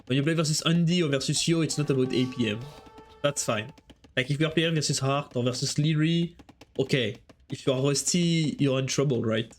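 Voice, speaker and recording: A man's voice: medium pitch, moderate pace, soft background music. A man with a French accent casting a strategy game on a live stream, chatting with viewers between plays. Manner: chatty, answers chat while casting.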